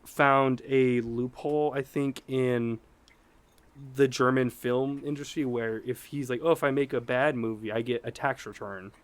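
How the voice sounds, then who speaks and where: A man's voice: medium pitch, fairly even intonation, unhurried. A man co-hosting a podcast with casual, jokey banter about movies, laughing often as he talks. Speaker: podcast host.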